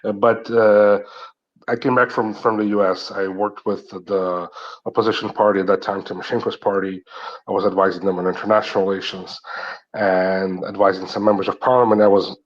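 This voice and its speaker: A man's voice: fairly low pitch, level tone, a little fast. A man from Ukraine with a North American-tinged English accent, recounting events at length in a casual live audio chat, rambling with many restarts and fillers. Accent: North American English, Ukrainian speaker. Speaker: guest speaker. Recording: dull lo-fi audio.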